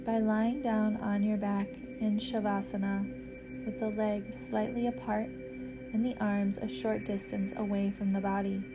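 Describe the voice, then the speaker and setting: Slow, even-toned woman guiding meditation over music. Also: mid-range voice. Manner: measured, soothing, instructional delivery with pauses. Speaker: yoga nidra meditation guide and podcast host. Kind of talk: guided meditation narration.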